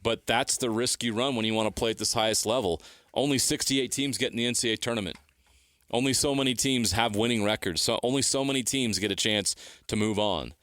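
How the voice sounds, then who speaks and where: A man's voice: mid-range voice, brisk, fairly bright tone, no echo. A middle-aged man co-hosting sports radio, making emphatic points by repeating and stacking phrases. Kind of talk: opinionated sports talk.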